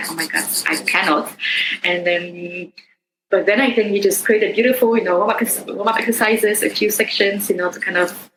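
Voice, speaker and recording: A woman's voice: mid-range voice, fairly bright tone, reverberant, slight background noise, poor audio. A woman hosting a podcast, asking long winding questions and speaking effusively in a heart-to-heart chat. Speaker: podcast host.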